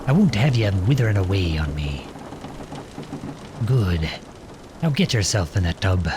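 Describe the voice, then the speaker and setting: Low-voiced, commanding pirate captain, acted by a man. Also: sing-song, a little slow, noisy background. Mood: concerned, firm. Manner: salty pirate slang, commanding. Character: gruff but caring male pirate captain. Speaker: voice actor in roleplay audio. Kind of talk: ASMR roleplay audio drama.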